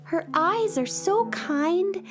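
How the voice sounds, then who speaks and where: A woman's voice: extremely high pitch, takes it slowly, soft background music. A woman playing a kind, earnest young space-traveling girl in a children's radio play, sweet and polite. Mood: friendly, wondering. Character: kind, wonder-filled young space cowgirl. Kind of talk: children's radio theater acting.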